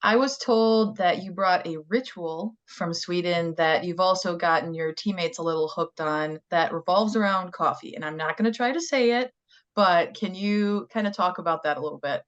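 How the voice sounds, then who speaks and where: A woman's voice: fairly low pitch, moderate pace, muffled recording. A woman hosting a college sports interview, asking questions in an upbeat, friendly way. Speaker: interviewer host.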